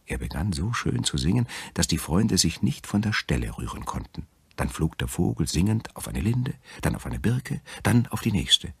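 A man with a husky voice narrating a scripted fairy tale as an audiobook storyteller. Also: sits low, sing-song, normal speed, few pauses, no room sound.

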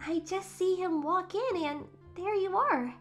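A woman voicing a feisty, affectionate, excitable teenage superheroine in a fan comic dub, with expressive delivery. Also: very high voice, unhurried, faint music behind, exaggerated voice. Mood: caring. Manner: expressive, exclamatory.

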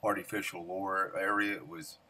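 A middle-aged man giving casual, excited commentary while fishing outdoors by a creek, recorded on a head-mounted action camera. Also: low voice, rough recording. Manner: excited exclamations, folksy talk. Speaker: fishing vlogger. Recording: outdoor action camera with loud water noise. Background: rushing water noise.

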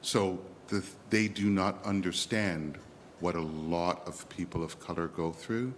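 Man, from the West Indies, audience question, emphatic, very low voice, faint hiss. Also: measured pace. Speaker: audience member. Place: lecture theatre.

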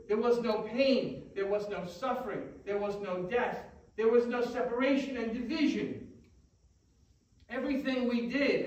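Older american priest, high-ish voice, slow pauses, church echo from far off. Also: male voice. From United States. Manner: measured, thoughtful, with frequent pauses and "uh" fillers. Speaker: Orthodox priest. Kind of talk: sermon. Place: large church sanctuary, indoors. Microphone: far camera.

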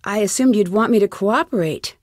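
A woman's voice: medium pitch, a little fast, darkish tone, no echo, clean studio sound, lightly acted. An actress voicing a determined, inquisitive young woman detective with an American accent in a video game, earnest and questioning. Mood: earnest, challenging. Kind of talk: video game voice acting, isolated dialogue lines.

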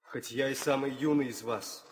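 An actor playing an eager, proud young prince, excitable and theatrical, in a 1960s audio drama. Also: male voice, mid-range voice, moderate pace, a little hiss or hum, natural voice. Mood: defiant. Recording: reverberant hall sound.

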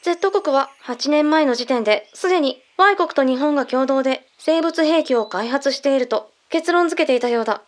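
A woman playing a brisk, matter-of-fact female agent in a radio drama, speaking in blunt, mannish phrasing as she explains. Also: high voice, close to an everyday voice. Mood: matter-of-fact, explanatory. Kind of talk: Japanese radio drama acting.

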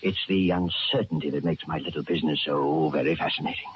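An actor playing a genteel, menacing killer who gloats with mock courtesy and rambles on, in 1940s radio horror style. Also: male voice, rumbling low, fairly even intonation, brisk. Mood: mock-courteous menace. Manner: rambling, self-satisfied, chuckling, mock-courteous. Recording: dull, noisy old-time radio recording.

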